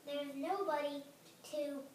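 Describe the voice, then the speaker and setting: A child reading a storybook aloud haltingly, stumbling over words and correcting them. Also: sits high, steady tone, a little mellow. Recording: roomy, distant home recording, faint noise.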